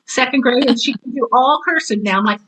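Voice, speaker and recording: A woman in her sixties, a retired teacher, chatting casually as an interview guest in an opinionated, animated way. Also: fairly high pitch, fairly quick, poor audio.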